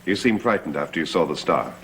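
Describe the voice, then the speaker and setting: Low-voiced, evasive gentleman. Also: lively intonation, fast talker, slight background noise. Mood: placating. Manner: hesitant, probing, placating. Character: evasive, soothing gentleman of a gothic household. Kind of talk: 1970s television soap opera acting. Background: background music.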